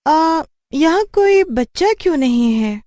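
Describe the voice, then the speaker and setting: A woman voicing an inquisitive, excitable young schoolgirl who scares easily in a cartoon story. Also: sits high, slow pace, no room sound, voice pushed up. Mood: curious.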